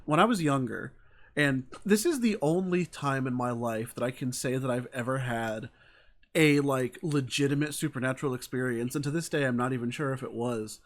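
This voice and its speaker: A man's voice: medium pitch, normal speed. A man hosting an interview over a video call, casual and joking, with wry self-deprecating asides. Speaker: interview host. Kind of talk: casual chat.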